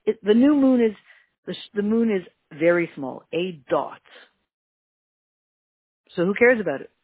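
A teacher with a Canadian accent giving an emphatic religious lesson, repeating key words and jumping up in pitch for emphasis. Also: very animated, unhurried, husky, no room sound. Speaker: Torah teacher. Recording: tinny phone-like line, dry.

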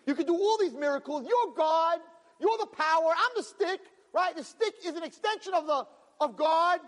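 A man giving a lively Torah lecture to a live audience, acting out pleading voices and mixing in Hebrew terms. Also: very high-pitched, normal speed. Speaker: rabbi lecturer. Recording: long reverb of a hall.

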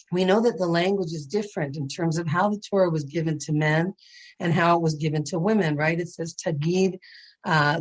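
Raspy low-voiced woman teaching. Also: low-quality recording. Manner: earnest and gentle, with frequent ums. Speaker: Torah educator and speaker. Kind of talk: spiritual class over video call. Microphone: video call.

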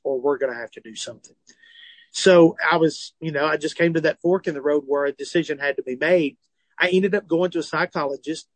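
Southern guy in his 40s, comedian, kinda high voice, animated, talking from his tour bus. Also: no room sound, rough recording. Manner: animated, earnest, self-deprecating humor. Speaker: comedian and author, guest. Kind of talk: phone or remote interview, candid storytelling.

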